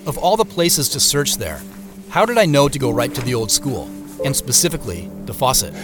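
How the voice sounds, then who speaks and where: A man's voice: mid-range voice, very animated, fairly quick, music in the background, lightly acted. An actor playing an introspective male scientist in a first-person audio drama, moving between narration and dialogue. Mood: reflective.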